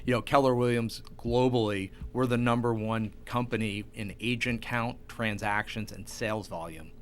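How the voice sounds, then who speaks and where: A man's voice: lowish voice, steady tone, normal speed. A man hosting a conversational interview in an encouraging, affirming way. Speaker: talk show host, real estate agent.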